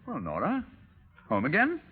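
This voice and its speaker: A hearty, oblivious husband in a 1930s radio play. His fairly high voice swoops in pitch, brisk and insistent, on a reverberant old recording. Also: wildly swooping pitch, breathy edge, narrow, tinny audio, full character voice. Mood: jovial. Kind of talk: 1930s radio drama acting.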